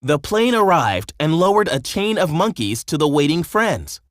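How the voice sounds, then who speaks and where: A man narrating a children's story from a script in an expressive storytelling manner. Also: on the high side, normal speed, dry recording, studio quality.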